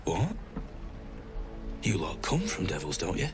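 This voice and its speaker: An actor playing a gruff, cynical mercenary rogue in a fantasy game, with a British accent and slangy, sardonic delivery. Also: male voice, low voice, huge pitch swings, measured pace, over music, exaggerated voice. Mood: gruff, wry.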